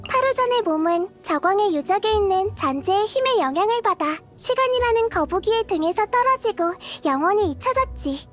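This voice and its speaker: A woman voicing a tiny, childlike goddess of wisdom in a video game, cute and girlish, gentle, curious and thoughtful, musing softly. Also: very high-pitched, lively intonation, fairly quick, hardly any pauses, soft background music. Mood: thoughtful, calm. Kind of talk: video game character voice lines.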